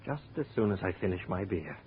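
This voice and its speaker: A man's voice: sits low, sing-song, fast talker. A man playing a sly, prickly, fatalistic barfly in a 1950s radio drama, with a sardonic, world-weary manner. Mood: confiding. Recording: tinny, hissy old radio recording.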